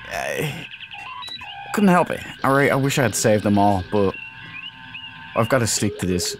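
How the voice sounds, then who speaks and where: A man doing casual gameplay commentary, informally thinking aloud as he hosts a let's play. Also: lowish voice. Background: game music.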